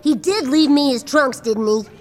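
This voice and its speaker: A woman's voice: high voice, moderate pace, long reverb tail, natural voice. A woman voicing a small, cocky adult man who works as a circus sideshow performer, in an audio drama. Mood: brushing off.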